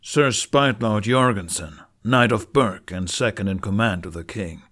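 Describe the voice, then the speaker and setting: Guy doing a sneering older knight boss for a fantasy audiobook, bossy, scornful, lowish voice. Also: moderate pace, a bit bright. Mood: contemptuous, commanding. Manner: commanding, contemptuous. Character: imperious, sneering middle-aged male knight commander.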